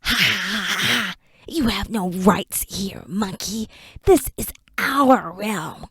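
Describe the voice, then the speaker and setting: A woman voicing slow, whispery, taunting forest creatures. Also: medium pitch, a bit bright, breathy edge, no room sound, studio quality, heavily acted. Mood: mocking. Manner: slow chanting, cackling, half-whispered taunts. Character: cruel mocking dark creatures of a forest realm.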